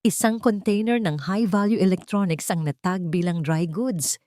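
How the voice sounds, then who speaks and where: A woman's voice: lowish voice, moderate pace, a little mellow, no room sound, clean studio sound, natural voice. A woman with a Filipino accent voicing a stern, angry office manager, formal and accusing, in an audio drama. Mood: furious.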